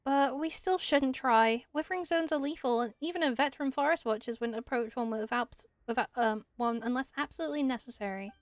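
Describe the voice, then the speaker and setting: A woman's voice: sits high, fairly quick, hardly any pauses, no echo, lightly acted. A woman voicing an earnest, dutiful young female forest ranger in a fantasy game, explaining things carefully. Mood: cautious, worried.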